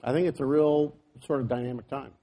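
A man's voice: mid-range voice, steady tone, a little fast, dark tone. A man speaking as a business panelist in a panel discussion, talking conversationally with many filler phrases.

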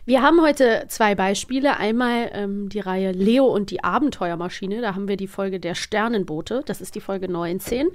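A woman's voice: mid-range voice, normal speed, few pauses. A woman co-hosting a podcast in upbeat, casual chat, often agreeing and laughing, on a studio mic. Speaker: podcast co-host.

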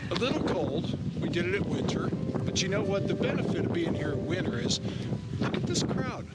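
A man's voice: high-pitched, sing-song, a little fast. A middle-aged man chatting casually as a travel vlogger, teasing in a mock-grumpy way, outdoors on a windy clifftop. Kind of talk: casual travel vlog chat. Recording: loud music over outdoor wind.

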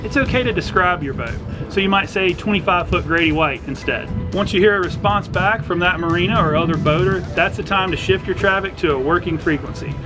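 A middle-aged man presenting an instructional explainer to camera, talking through things step by step. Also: high voice. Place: outdoors on a docked boat at a marina. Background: background music.

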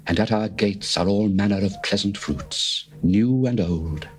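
A man voicing an adoring male lover addressing his bride, in a solemn, measured dramatized scripture reading. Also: on the low side, lightly acted. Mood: tender. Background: faint music.

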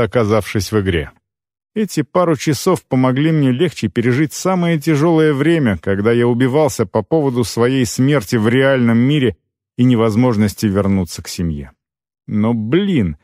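A man narrating scripted first-person fiction as an audiobook reader, expressive, with deliberate phrase breaks. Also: mid-range voice, dry recording, clean studio sound.

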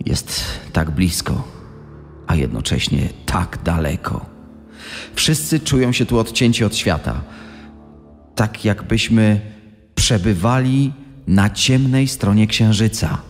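A man playing a brooding, weary jailed gangster reading his letter in a dramatised documentary, with heavy pauses. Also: fairly low pitch, animated. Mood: weary, bleak. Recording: long hall reverb, music underneath.

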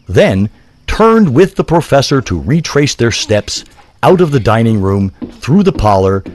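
A man narrating a scripted story in a measured, literary manner. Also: medium pitch, darkish tone, slightly rough.

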